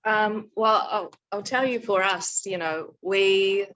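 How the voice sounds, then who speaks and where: A woman's voice: mid-range voice, fairly even intonation, slow pace, a bit bright, highs cut off. A woman, a co-creator and actor, speaking candidly on a panel and laughing often. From New Zealand. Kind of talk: panel talk.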